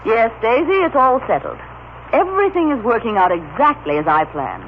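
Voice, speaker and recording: Haughty, scheming wife, high swooping voice. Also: female voice, sing-song, moderate pace. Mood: haughty, smug. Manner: haughty, sharp-tongued, imperious. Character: cold, calculating, scheming estranged society wife. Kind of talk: old-time radio soap opera acting. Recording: scratchy, narrow-band old radio recording.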